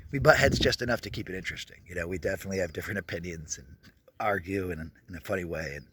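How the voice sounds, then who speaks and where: A man's voice: mid-range voice, background noise. A man in his thirties vlogging casually outdoors while walking, telling his family story in a candid, rambling way that often corrects itself. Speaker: travel vlogger. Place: outdoors at a skate park. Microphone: handheld camera while walking.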